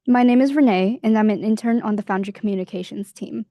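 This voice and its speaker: A woman's voice: mid-range voice, quick pace, dark tone, no room sound, dull audio. A young adult woman hosting an interview, asking scripted questions.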